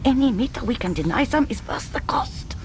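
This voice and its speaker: An actress playing a ruthless, insistent officer in a radio drama, with a creaky voice. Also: female voice, fairly high pitch, animated, brisk, whispered, close to an everyday voice. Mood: urgent, conspiratorial. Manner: intense, hushed, insistent. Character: ruthless, fervent German officer urging a desperate attack.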